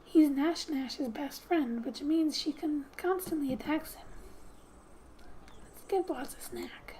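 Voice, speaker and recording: A woman speaking softly and breathily, often near a whisper, as she gently reads game text aloud for an ASMR gaming video. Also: sits high, slow, slight background noise, rough recording.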